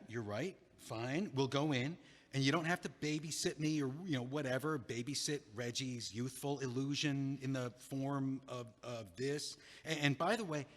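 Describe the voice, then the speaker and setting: An actor playing a flustered middle-aged lawyer after a breakup, stammering, wordy and defensive, in an audio drama. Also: male voice, medium pitch, fairly bright tone, in a large hall. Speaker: attorney.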